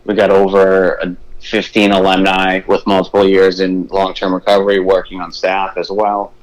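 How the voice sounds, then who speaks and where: Relaxed Boston man on a phone line. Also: deep voice, normal speed. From Boston area. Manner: easygoing, explanatory, lists services steadily. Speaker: treatment center CEO, phone guest. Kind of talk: casual phone interview.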